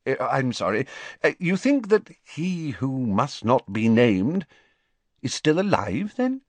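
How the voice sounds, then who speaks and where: A man's voice: mid-range voice, big pitch swings, takes it slowly, dry recording, voice pushed up. A man voicing a harassed, exasperated male prime minister who splutters and stammers, in an audiobook. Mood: hesitant. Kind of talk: audiobook character voice.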